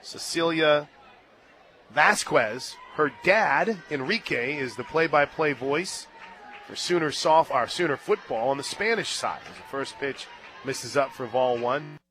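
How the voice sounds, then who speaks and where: An American man working as a radio play-by-play announcer, mixing animated game calls with casual conversational banter. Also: unhurried, slight background noise. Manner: animated calls that swell to shouts on big plays, conversational between pitches. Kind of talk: live sports commentary with casual banter. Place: radio broadcast booth at a ballpark.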